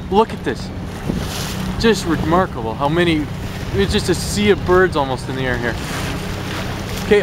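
A man filming from a small sailboat at sea, narrating with excitement and calling out cheerfully to dolphins. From Phoenix, Arizona, US. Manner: excited, coos and calls out to dolphins. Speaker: amateur sailor filming. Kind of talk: excited home-video narration. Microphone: handheld camera mic. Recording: loud wind rumble on camera mic.

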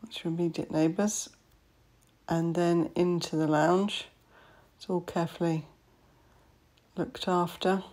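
A woman's voice: on the low side, fairly even intonation, measured pace, long pauses, a little mellow. A woman estate agent narrating a walkthrough of a house, listing its features matter-of-factly in short phrases. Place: inside an old house.

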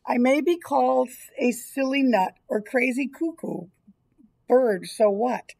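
A woman's voice: high-pitched, lively intonation, measured pace, creaky, darkish tone, poor audio. A woman in her fifties reading a children's picture book aloud as a teacher would. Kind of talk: children's storybook read-aloud. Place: small indoor school room or library.

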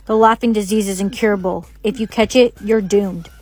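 A woman's voice: mid-range voice, fairly even intonation, a little fast, darkish tone, close to an everyday voice. A woman playing stern, dramatic characters in a melodramatic skit, with an emphatic, theatrical delivery. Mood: stern warning. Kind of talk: short skit acting, melodramatic.

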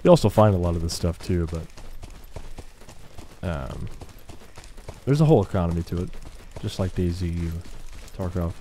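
Deep, bright-voiced man streaming a game. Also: normal speed, long pauses, a little hiss or hum. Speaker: game livestreamer. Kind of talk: casual livestream gameplay commentary.

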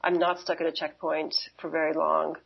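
A woman in her forties speaks in a low register with a lot of creak. She answers interview questions thoughtfully and hesitantly, often reworking her phrases. The recording is slightly dull. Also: flat delivery, normal speed. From Canada and Kuwait. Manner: hesitant, reflective, often rephrasing. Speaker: interview guest, museum professional.

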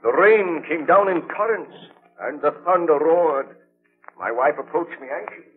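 A man's voice: on the high side, expressive, normal speed, close to an everyday voice. Baron Frankenstein, played by a man, on old radio. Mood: narrating. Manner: earnest, formal diction. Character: Baron Frankenstein, troubled gentleman scientist. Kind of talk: 1930s radio drama acting. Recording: tinny old radio broadcast.

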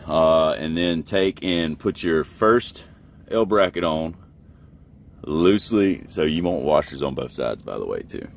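A man's voice: rumbling low, animated, a little slow, gravelly. A man in his thirties, a truck driver, talking in a laid-back, folksy way in a casual how-to vlog. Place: inside a truck cab.

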